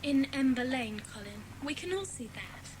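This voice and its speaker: An observant schoolgirl in a children's audio drama. Her voice is very high and animated, her manner matter-of-fact, over a noisy backdrop. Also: normal speed.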